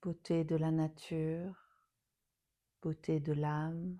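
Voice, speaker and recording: Female meditation guide, lowish pitch, unhurried and soothing, phrases fading softly into breath. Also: little pitch movement. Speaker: yoga nidra teacher.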